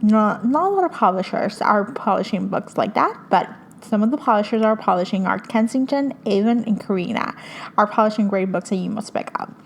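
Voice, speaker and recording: A young woman from hosts a casual podcast, her Spanish. She talks eagerly, full of fillers and little restarts, at an ordinary mid pitch for a woman. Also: lively intonation, brisk, vocal fry, slight background noise. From Puerto Rico. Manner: enthusiastic, chatty, many fillers. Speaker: podcast host. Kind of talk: casual book recommendations.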